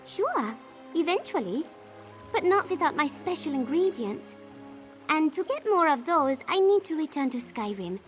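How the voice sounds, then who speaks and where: A woman voicing an excitable, scatterbrained traveller in a fantasy game, chatty and easily flustered. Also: high voice, moderate pace, soft background music. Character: excitable, scatterbrained female traveller who overshares. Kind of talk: scripted fantasy game dialogue.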